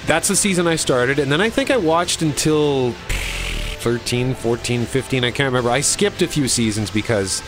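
A man co-hosting a podcast in casual conversation, speaking in a halting way as he thinks aloud. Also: medium pitch, lively intonation, rough recording. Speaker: podcast co-host. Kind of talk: casual chat about TV. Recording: music behind the talk.

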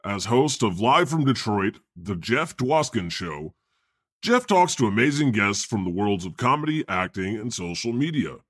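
A man's voice: fairly low pitch, very animated, moderate pace, a bit bright, no room sound, clean studio sound. A man playing God as a casual, sardonic podcast host who banters with and teases the guests. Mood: casual, wry. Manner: irreverent, teasing. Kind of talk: casual comedic banter and interviewing.

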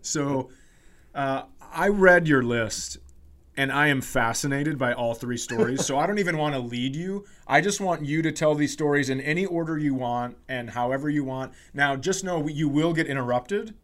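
A man's voice: on the high side, moderate pace, fairly bright tone. A man co-hosting a podcast, chatting casually and making crude jokes in a dry way. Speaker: podcast co-host. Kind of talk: casual comedic banter.

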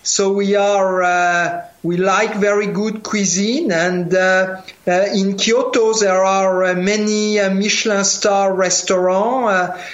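A man's voice: high-pitched, steady tone, slow, hardly any pauses, fairly bright tone. A man with a French accent, speaking as a scientist guest in an interview, hesitating with many fillers and repeated words. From France. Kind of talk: remote interview, casual. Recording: echoey, dull lo-fi remote audio.

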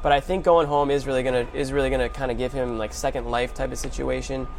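A man's voice: medium pitch, steady tone, fast. A man hosting a sports show, giving informal, slangy basketball commentary in a conversational way. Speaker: sports podcast host. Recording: clear background noise and hum.

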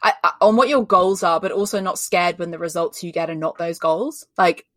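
A woman from Australia with an English accent answers interview questions in an emphatic, chatty way. Her voice sits a little low, and the recording is close and dry. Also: fairly even intonation, brisk, fairly bright tone, no echo. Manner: emphatic, chatty, many "like" fillers. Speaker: guest, personal branding expert. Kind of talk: candid conversational interview answers.

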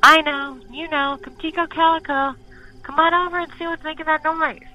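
A woman voicing a frightened old mountain man who calls out loudly in a rustic way. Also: high-pitched, fairly even intonation, moderate pace, muffled recording, faint music behind, pitched above the performer's own voice, lightly acted. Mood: calling, scared. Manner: loud rustic calling.

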